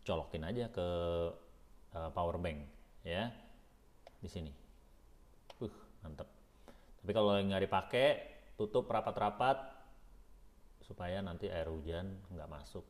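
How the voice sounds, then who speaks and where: A man's voice: sits low, moderate pace, long pauses. A man reviews a product in a casual, unscripted vlog style, thinking aloud informally and hesitantly as he goes.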